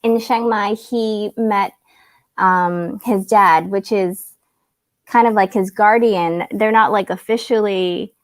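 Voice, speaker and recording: A woman's voice: mid-range voice, fairly even intonation, slow, no echo. A woman whose first language is Cantonese, chatting casually as a guest on a live video call, giggly and full of fillers. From Canada.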